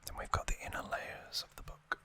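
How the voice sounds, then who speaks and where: A man hosting an ASMR unboxing, speaking in a soft, breathy whisper with an unhurried, musing manner. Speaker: ASMR video host.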